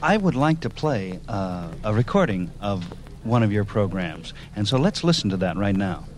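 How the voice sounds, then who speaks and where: A man's voice: fairly low pitch, big pitch swings, moderate pace. A man hosting a conversational radio interview, with polite, hesitant phrasing. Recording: faint background noise.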